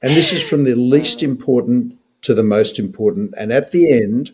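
A man's voice: medium pitch, moderate pace, darkish tone. An older man in his sixties with an Australian accent, a doctor co-hosting a health podcast in an emphatic, opinionated way with wry humour.

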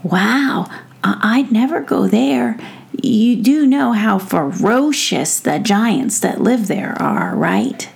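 A woman's voice: a little high, very animated, takes it slowly, gravelly, husky, pitched above the performer's own voice, exaggerated voice. A woman voicing a small, earnest and proud young boy gnome in a children's story, with a hesitant manner. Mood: cautious, worried.

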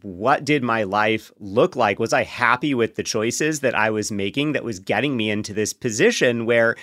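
A man speaking as a podcast guest, explaining things candidly in a reflective, measured, conversational way. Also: medium pitch, a bit bright, dry recording, clean studio sound. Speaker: podcast guest, co-author and partner of a sex therapist.

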